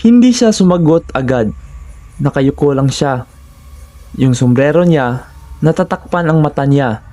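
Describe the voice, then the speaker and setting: A Filipino man narrates horror in scripted first person. His tone is soft-edged and dark, pitched a little above average for a man. He builds suspense with dramatic storytelling. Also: lively intonation, unhurried.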